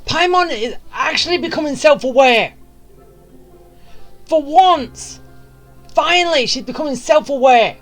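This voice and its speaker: A woman's voice: high voice, lively intonation, measured pace, long pauses, faint music behind. A woman giving casual gameplay commentary with wry, blunt asides. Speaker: video game streamer.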